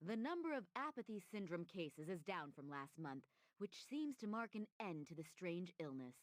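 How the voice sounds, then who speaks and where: A woman playing a brisk, neutral television news anchor in a video game, reading formally. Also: on the low side, very animated, no echo.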